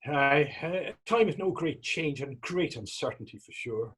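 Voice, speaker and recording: Man playing an animated, husky Irish companion. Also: a little high, unhurried, full character voice. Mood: supportive. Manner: florid, old-fashioned turns of phrase. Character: sympathetic, curious, poetic Irish companion named Tommy. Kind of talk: stage readers theatre acting in dialect.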